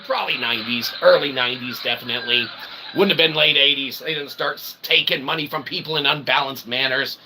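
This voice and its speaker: A man's voice: medium pitch, a little fast, sharp tone, a little hiss or hum, poor audio. A man hosting an improvised livestream, rambling to viewers in wordy, mock-formal roundabout phrases tagged with okay and right, with theatrical playful asides. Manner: often tags sentences with "okay" and "right". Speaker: livestream host.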